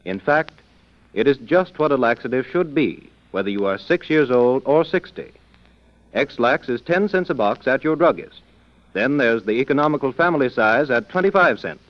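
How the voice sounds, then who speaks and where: A man's voice: medium pitch, expressive, normal speed, no echo. An American man reading scripted announcements and sponsor copy in the style of old-time radio. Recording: dull old radio broadcast, music behind.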